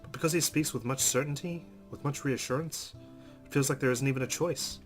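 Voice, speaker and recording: A male gamer with a medium-pitched voice reads story text aloud for a let's play. His delivery is expressive, moving between narration and casual commentary. Faint game music plays behind him. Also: a little fast. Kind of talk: expressive reading of visual novel narration with casual asides.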